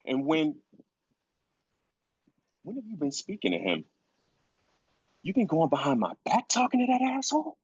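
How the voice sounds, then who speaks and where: A man playing an angry bereaved dad, husky, wildly swinging pitch, incredulous, emphatic, stage drama reading. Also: wildly swooping pitch, normal speed, pauses often, darkish tone, no echo, muffled recording, exaggerated voice. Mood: outraged, hurt. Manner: incredulous, emphatic, repeats phrases for weight. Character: grieving, furious father of a killed son. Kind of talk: live dramatic script reading.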